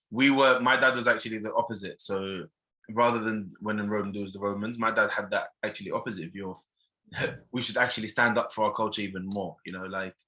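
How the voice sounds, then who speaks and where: Bright-voiced male podcast host. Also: fairly low pitch, low-quality recording. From Pakistan. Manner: conversational, relatable anecdotes. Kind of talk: casual podcast chat.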